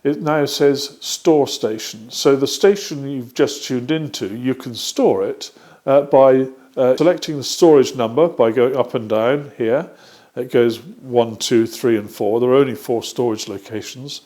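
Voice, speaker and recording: A man's voice: medium pitch, measured pace, dark tone. British man giving a methodical demonstration. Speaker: presenter of a workshop product demonstration. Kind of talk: step-by-step explanatory product demonstration.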